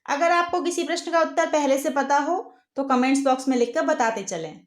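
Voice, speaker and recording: A woman's voice: high voice, fairly quick. A woman reading a scripted educational quiz in an instructional, question-and-answer manner. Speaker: quiz presenter. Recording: roomy, echoing voice-over.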